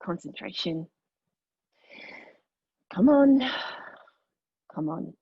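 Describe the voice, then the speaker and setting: An actress in a table read of a play, playing an agitated, defiant, outspoken woman who speaks haltingly and emotionally. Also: on the low side, lively intonation, creaky, husky, dry recording, dull audio, heavily acted. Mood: halting, confessional. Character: defiant, agitated woman arguing with a machine, giving personal testimony.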